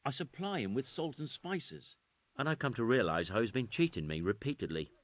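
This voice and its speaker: A man's voice: medium pitch, lively intonation, brisk, natural voice. An actor playing an evasive, indignant nobleman in a video game, protesting in a sardonic, plain-spoken way. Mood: defensive. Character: evasive, indignant nobleman caught skulking at night. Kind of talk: dramatic video game acting.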